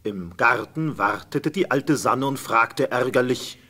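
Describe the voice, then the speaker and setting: A man's voice: medium pitch, measured pace, bright, forceful tone. A man narrating a scripted fairy tale as a storyteller.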